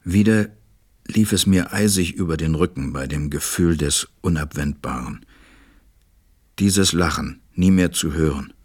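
Pensive narrator, deep, very husky, tender, grave, deliberate, literary radio play. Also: male voice, exaggerated voice. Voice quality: low, husky, breathy. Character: pensive, tender adult male narrator befriending a small boy.

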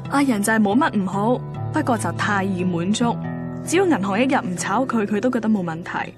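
A woman's voice: medium pitch, lightly acted. An actress playing a caring, demanding yet tender young girlfriend in a radio drama, speaking Hong Kong Cantonese. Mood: reflective narration. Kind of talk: radio drama acting and first-person narration. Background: music.

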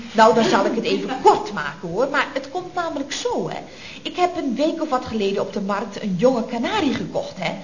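Een actrice die een babbelende, plagerige secretaresse speelt in een radiokomedie uit de jaren zeventig, met theatraal opgewekt getater. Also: female voice, high voice. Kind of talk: 1970s radio comedy acting. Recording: dull vintage radio recording, audience laughter.

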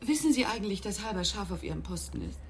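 A woman's voice: medium pitch, big pitch swings, fast talker, slight background noise. An actress playing a loyal female police secretary in a radio play, businesslike and confiding. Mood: confiding, disapproving.

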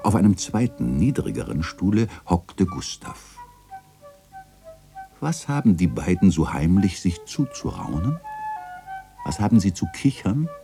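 An avuncular older scholar in a classic radio play. The voice is very low and swoops expressively. He speaks with measured, pedantic wit and gentle teasing. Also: very animated, faint music behind, heavily acted. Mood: wistful narration. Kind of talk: German literary radio play acting.

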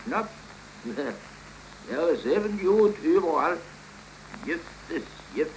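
An actor playing a gruff, dryly amused gravedigger who speaks laconically, in a 1930s radio play. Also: male voice, a little high, animated, slow. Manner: laconic, dry. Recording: hissy, echoey, distant 1930s radio recording.

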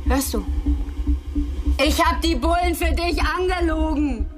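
An actress playing a jealous, wounded drinker in a German radio drama, needling a partner with bitter sarcasm. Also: female voice, high voice, unhurried, music in the background. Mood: bitter, sarcastic.

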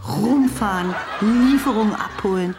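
A woman's voice: medium pitch, unhurried, music in the background, natural voice. An actress playing an indignant, worried woman who scolds in an incredulous, sarcastic way in a radio drama. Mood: exasperated, sarcastic.

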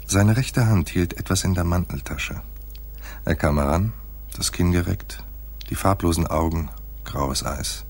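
An actor playing a laconic hard-boiled private detective in a noir radio play, with a dry, terse delivery and a husky voice. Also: male voice, unhurried. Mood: dry, detached. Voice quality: husky, very deep. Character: hard-boiled male private detective narrating his own case. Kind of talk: noir radio play, first-person narration.

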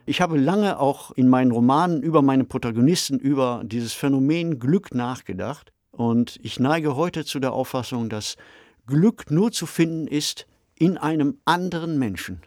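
A middle-aged or older man in a reflective podcast discussion, phrasing deliberately. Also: medium pitch, lively intonation, a little mellow, dry recording, clean studio sound. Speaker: podcast discussion participant, novelist.

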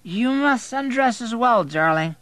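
Audiobook drunk: male, slurring and drawling, emotional, pitched well up. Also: animated, a little slow, a bit bright, no echo, exaggerated voice.

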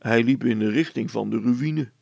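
A man's voice: medium pitch, normal speed, gravelly, darkish tone, dry recording. A man voicing a simple, blunt village policeman in a children's story, with folksy, plain speech. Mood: puzzled.

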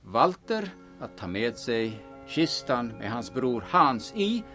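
A man playing a solemn memorial officiant in an audio drama, speaking in a measured, ceremonious way. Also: medium pitch, very animated, fairly bright tone, faint music behind. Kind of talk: formal eulogy in audio drama.

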